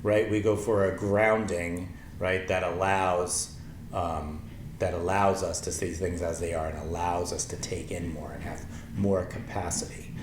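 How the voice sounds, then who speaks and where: A man in his forties with a low voice, teaching a yoga lesson slowly and gently with many pauses and ums. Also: steady tone. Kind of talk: calm spoken yoga lesson with chanting. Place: small wooden-floored room with paper screen, indoors. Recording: echoey room, faint ambient noise.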